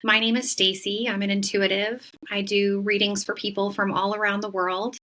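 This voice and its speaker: A woman co-hosting a spiritual podcast, introducing herself in a steady, welcoming way. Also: medium pitch, moderate pace. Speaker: podcast co-host and intuitive reader.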